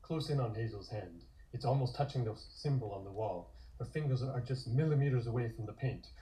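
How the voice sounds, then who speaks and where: A man reading scripted narration for a comic-book table read in a measured, descriptive way. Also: mid-range voice, mellow, rough recording.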